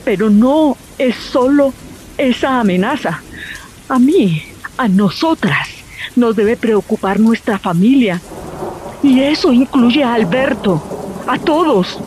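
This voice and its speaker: Frightened Colombian mother, high and slow. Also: expressive, darkish tone, rough recording. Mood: grave. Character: frightened, pleading mother guarding a traumatic secret. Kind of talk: audio drama acting. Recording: rain noise over the voice.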